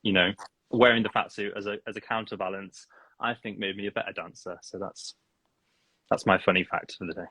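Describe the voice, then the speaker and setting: A man's voice: fairly low pitch, fairly even intonation, fairly quick, sharp tone, breathy edge, dry recording, poor audio. A man, a singing teacher, chatting casually as a podcast guest, laughing often and poking fun at himself. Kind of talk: casual interview chat.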